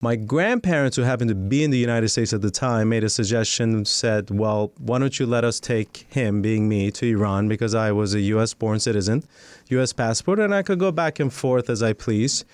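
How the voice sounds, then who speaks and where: A man's voice: on the low side, moderate pace, clear, resonant tone, no echo. A man, a lawyer and guest, telling his life story in a studio podcast interview, articulate and earnest.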